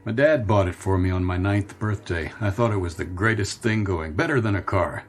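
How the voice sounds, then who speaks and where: An American actor playing a nostalgic, bewildered middle-aged man in a radio drama, speaking earnestly and haltingly. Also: deep voice. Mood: wistful, friendly. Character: nostalgic, bewildered man revisiting his boyhood town. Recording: studio radio drama with music bed.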